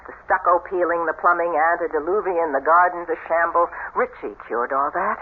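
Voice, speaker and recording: An actress playing a breezy, persuasive, worldly sister-in-law in a 1970s radio drama, with a theatrical, coaxing delivery. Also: female voice, on the low side, moderate pace, narrow, tinny audio, natural voice. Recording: thin, noisy vintage radio recording.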